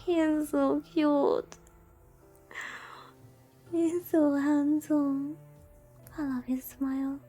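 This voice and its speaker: High-voiced, mellow woman streamer. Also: little pitch movement, drawn-out words, pauses often. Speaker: VTuber streamer. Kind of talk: casual chat while playing a visual novel. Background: game music.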